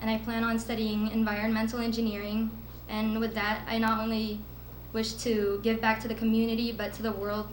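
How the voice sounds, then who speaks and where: A woman's voice: on the high side, completely flat delivery, a little fast, slight background noise. Teenage girl giving earnest speech. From Philippines. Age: teens. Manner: earnest, with nervous laughs. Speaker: student speaker. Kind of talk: prepared speech. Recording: echoey room with faint ambience.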